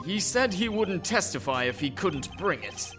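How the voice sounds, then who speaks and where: Haughty, formal male prosecutor man acting, high-pitched and condescending, for a courtroom game. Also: very animated, moderate pace, a bit bright, faint music behind. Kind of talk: fan-dub visual novel voice acting.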